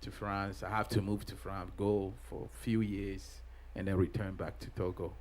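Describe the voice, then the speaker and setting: A middle-aged man from Ghana answers an interviewer's questions. His voice sits a little low, and he speaks in a halting way, with frequent pauses and restarts. Faint background noise runs under the recording. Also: flat delivery, a little mellow. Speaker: pastor and guest.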